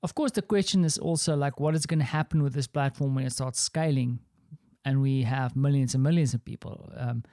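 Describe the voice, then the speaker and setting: Man, Afrikaans accent, solo podcast host, mellow voice, dry close sound, rambling and reflective. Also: mid-range voice, fairly quick, no echo. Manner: rambling, self-deprecating, reflective. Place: small home office.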